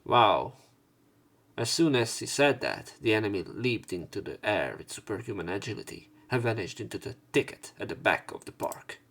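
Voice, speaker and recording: A man narrates a visual novel as a let's play host. His voice is fairly low, and he moves between dramatic reading and casual commentary over game music. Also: lively intonation, normal speed, fairly bright tone. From Hungary. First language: Hungarian.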